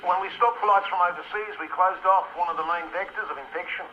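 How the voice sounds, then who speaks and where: An actor playing an Australian male researcher talking gravely over shortwave radio in a radio drama. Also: high voice, fast, lo-fi sound. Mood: grave, informative. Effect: shortwave radio filter. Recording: band-limited, noisy shortwave radio sound.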